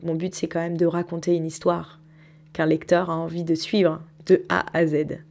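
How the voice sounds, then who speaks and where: A woman's voice: sits low, flat delivery, normal speed, vocal fry, a little mellow. A woman in her thirties, a novelist recording a spontaneous spoken diary, reflective and thinking aloud as she talks about her writing. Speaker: novelist keeping an audio diary.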